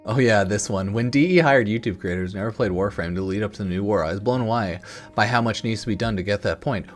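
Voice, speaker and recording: A man with a fairly low voice talks through his opinions in a casual, rambling way, like a gaming creator at his microphone. His delivery is informal and sweary, and music plays behind him. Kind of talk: casual opinion commentary, reading out online comments. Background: music in the background.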